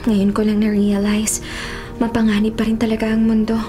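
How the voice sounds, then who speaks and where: High-voiced, headstrong college girl played by a woman. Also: a little fast, faint music behind, close to an everyday voice. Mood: wistful. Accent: Filipino. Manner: expressive, insistent. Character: headstrong young female college student, mayor's affectionate daughter. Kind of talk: Filipino radio drama acting.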